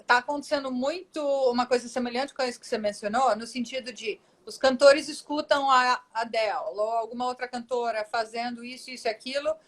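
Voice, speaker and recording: A woman's voice: fairly high pitch, fairly bright tone. A woman voice teacher answering questions in a live online interview, explaining technical points on a video call. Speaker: guest voice teacher.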